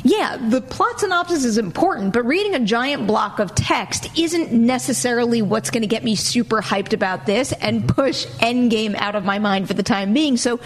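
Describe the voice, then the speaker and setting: A woman with a fairly high voice hosts a casual entertainment news panel. She sounds enthusiastic and conversational as she presents items and puts questions to her guests. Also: expressive, moderate pace, few pauses. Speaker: host of a movie news panel show.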